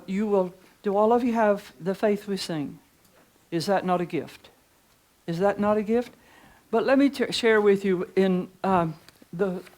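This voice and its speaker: An older woman with a deep voice leading a chatty preaching workshop in a conference room, reading hymn lyrics slowly. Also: darkish tone. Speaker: pastor teaching preachers. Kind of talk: informal preaching workshop talk. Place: conference room with seated audience.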